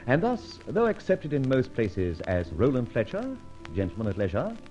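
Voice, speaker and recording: An actor playing a suave, witty British gentleman thief with jaunty old-fashioned slang in a radio detective drama. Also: medium pitch, huge pitch swings, moderate pace, faint music behind. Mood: wry, self-assured. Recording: dull, lo-fi old radio recording.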